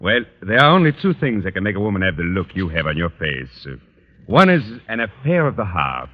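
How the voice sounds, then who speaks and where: A man's voice: low-pitched, sing-song. Suave Frenchman, wildly swooping voice. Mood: sympathetic, teasing. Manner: halting, foreign phrasing, courtly. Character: suave, flirtatious French teacher, a man. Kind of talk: 1940s-50s radio comedy acting. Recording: muffled, noisy old radio, reverberant hall.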